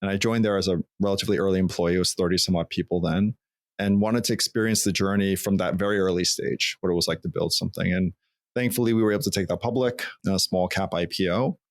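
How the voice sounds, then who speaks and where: A man in his forties with a New York accent, a guest recounting his career in a thoughtful, measured way in an office interview. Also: deep voice, fairly even intonation, dry recording, studio quality. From Long Island, New York, US. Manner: thoughtful, measured, occasional restarts. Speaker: guest, former CFO. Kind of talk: conversational interview.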